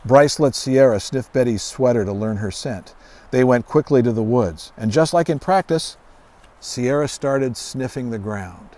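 A middle-aged man reading a children's storybook aloud outdoors in a deliberate, expressive way. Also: mid-range voice. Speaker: guest author reading own children's book. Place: outdoors on a grassy lawn by trees.

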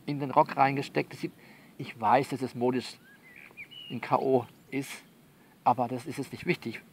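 A man playing a soothing but bossy confidant who coaches someone by phone in an audio drama, halting and repeating himself as he instructs. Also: medium pitch, fairly even intonation, slight background noise, natural voice. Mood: bossy, coaxing. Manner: halting, repetitive, instructive, reassuring. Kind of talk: one-sided phone conversation in an audio novel.

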